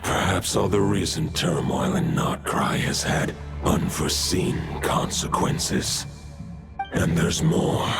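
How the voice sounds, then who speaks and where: An actor playing an ancient, vast male wolf god who speaks in a grave, archaic, measured way, in a video game. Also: full character voice. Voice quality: deep gravelly voice.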